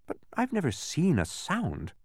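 A man plays a curious young boy from a storybook, pitched high and very lively. He is eager and persistent, always earnestly questioning. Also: measured pace, slightly rough, no room sound, studio quality, full character voice. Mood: puzzled. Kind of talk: storybook character voice.

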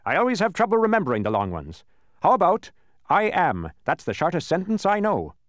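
A man voicing a tiny, stout, fierce storybook policeman, officious, blustering and quick to accuse. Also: sits high, huge pitch swings, no room sound, clean studio sound, voice pushed up. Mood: brisk, self-satisfied.

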